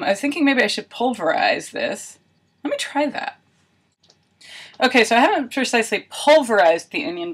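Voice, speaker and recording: Woman vlogger chatting casually, medium pitch. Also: a bit bright, slightly rough. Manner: musing, thinking aloud. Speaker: video blogger. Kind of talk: casual chatty vlog narration of a homemade experiment.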